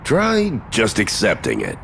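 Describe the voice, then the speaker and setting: An actor playing a cocky, taunting but gruffly fond middle-aged father and warrior in a fantasy video game, with a gravelly voice and a swaggering, blunt manner. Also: fairly low pitch, wildly swooping pitch, measured pace, fairly bright tone, a little hiss or hum, full character voice. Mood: taunting, stern.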